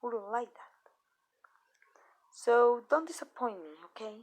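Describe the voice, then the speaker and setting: A woman playing a possessive yet tender female vampire mistress in an intimate ASMR roleplay, speaking softly and deliberately with hesitant pauses. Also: fairly high pitch, expressive. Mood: possessive, affectionate.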